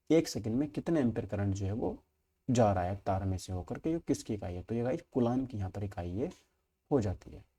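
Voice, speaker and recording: A man's voice: fairly low pitch, mellow. A man teaching an exam-preparation class informally, explaining step by step with frequent check-ins and repeated points. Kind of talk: informal lesson walking through multiple-choice questions.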